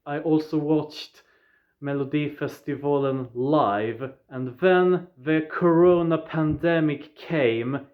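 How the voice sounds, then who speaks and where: Slow-talking Swedish young man vlogging. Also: a little high, narrow, tinny audio. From southern Sweden. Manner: deliberate, plain phrasing, starts points with "yeah". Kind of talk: casual personal recap to viewers.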